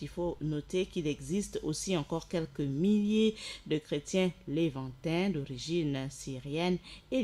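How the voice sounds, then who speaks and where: Woman radio host, low-pitched and explanatory. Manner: explanatory, rises in energy for shout-outs. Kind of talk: radio presentation, reading notes and sending greetings.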